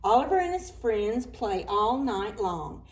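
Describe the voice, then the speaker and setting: A woman, a children's librarian, reading picture books aloud at preschool story time in an animated, sing-song way with soft whispered asides. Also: medium pitch, a little slow.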